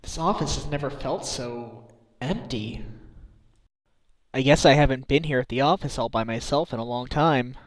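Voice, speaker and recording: A man's voice: medium pitch, moderate pace, reverberant, close to an everyday voice. A man voicing an earnest, slightly hapless defence lawyer in a game fandub, wry and musing. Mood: lonely. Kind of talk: video game fandub acting with inner monologue.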